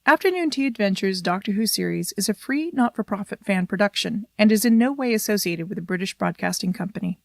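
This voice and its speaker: A woman's voice: mid-range voice, expressive, brisk, vocal fry, few pauses, dry recording, studio quality. A woman reading scripted credits and a disclaimer as an announcer.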